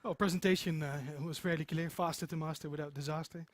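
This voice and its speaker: A man's voice: on the high side, steady tone, fast talker, dry recording. A middle-aged man giving an informal conference talk, emphatic and repeating phrases for stress. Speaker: conference speaker, software developer. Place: meeting room with seated audience, indoors.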